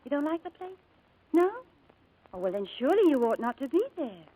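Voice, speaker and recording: A woman's voice: sits high, sing-song, brisk, narrow, tinny audio. An actress in a 1940s-style radio drama playing a kind, chatty young showgirl, coaxing and protective. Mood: bright, coaxing. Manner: bubbly, coaxing, gently reassuring. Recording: old radio broadcast recording.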